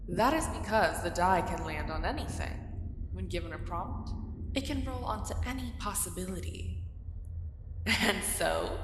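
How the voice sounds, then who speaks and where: A woman plays an ancient female dragon guarding her hoard, haughty and playfully menacing. Her slow, taunting lines swoop widely in pitch, drawn out theatrically for an ASMR roleplay, and ring through a long cave reverb. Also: fairly bright tone. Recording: cavernous reverb, noisy cave ambience.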